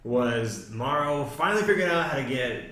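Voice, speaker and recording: A man's voice: medium pitch, expressive, normal speed, sharp tone. A man chatting casually about a card game, hesitant and often trailing off. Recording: very echoey room.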